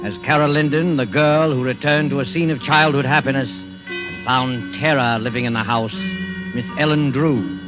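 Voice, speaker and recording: An actor playing an ominous, formal suspense host, reading a measured, portentous introduction in 1940s radio style. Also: male voice, medium pitch, fairly even intonation, close to an everyday voice. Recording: muffled vintage radio, prominent music behind.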